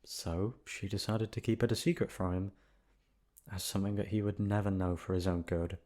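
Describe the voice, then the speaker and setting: Low-voiced man narrating a bedtime story. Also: steady tone, normal speed, a little mellow. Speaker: voice actor reading a bedtime story. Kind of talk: soft storybook narration, ASMR sleep aid.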